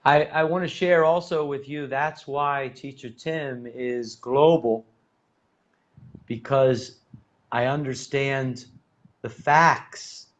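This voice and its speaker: A male English teacher hosts a casual conversation. He tells stories in a lively, rapid way, full of fillers, and speaks with a mid-range voice. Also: mid-range voice, muffled recording. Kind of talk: casual storytelling chat.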